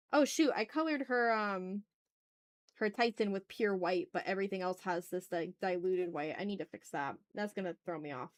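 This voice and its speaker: A woman with a mid-pitched voice streams as an artist. She chats casually to viewers and half talks to herself as she works. Short phrases get repeated, mixed with mild exclamations. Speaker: streamer, artist hosting a live art stream. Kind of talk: casual livestream chat, muttering to self while drawing.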